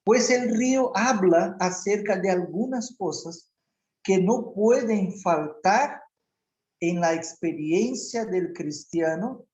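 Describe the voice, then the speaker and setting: A native Portuguese-speaking male pastor delivers a sermon at an unhurried, deliberate pace, circling back and restarting phrases as he goes. His voice sits at a middle pitch. It rings out in an echoey church hall. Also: a little mellow, dull audio. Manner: slow, measured preaching with many restarts.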